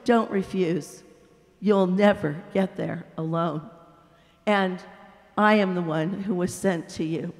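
A woman voicing a humble male spirit, speaking calmly and earnestly. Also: lowish voice. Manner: calm, earnest, slow. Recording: echoey hall.

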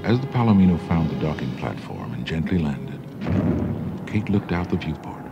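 A man's voice: very deep voice, sing-song, moderate pace, creaky, lo-fi sound. A man narrating a children's read-along story from a script. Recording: band-limited old record, music underneath.

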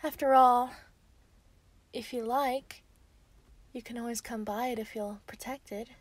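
A woman in an ASMR roleplay voicing an obsessive, sweetly possessive female werewolf, breathy and often dropping into a whisper. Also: a little high, fairly even intonation. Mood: falsely sweet. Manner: slow, teasing, giggly.

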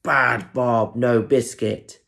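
A man voicing exasperated, scolding parents in a children's story, with drawn-out outraged exclamations. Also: medium pitch, fairly even intonation, vocal fry, bright, forceful tone, exaggerated voice.

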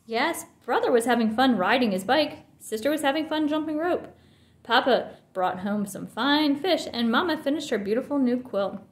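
A woman's voice: sits high, expressive, moderate pace, roomy sound. A woman reading a children's picture book aloud with expression. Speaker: storybook reader.

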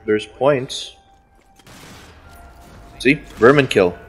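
A man chatting and exclaiming excitedly while playing a co-op game with friends. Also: medium pitch, very slow, breathy edge, faint music behind. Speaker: channel host. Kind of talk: casual co-op gaming chat.

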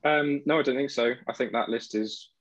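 A man's voice: medium pitch, moderate pace, no room sound. A young man, an accountant, answering questions in a professional video call, hesitant with many fillers and restarts. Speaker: accountant panelist. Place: home room. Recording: dull, lo-fi video-call audio.

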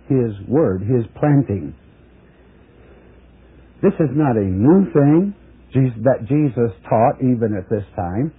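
A man teaching a Bible sermon as pastor, slow and deliberate, dropping low at the ends of phrases. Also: slightly rough. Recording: tinny narrow-band broadcast audio.

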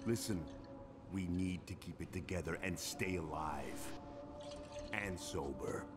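An actor playing an earnest, protective leader of survivors in a video game, serious and commanding. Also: male voice, low-pitched, takes it slowly, over loud music. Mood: grave.

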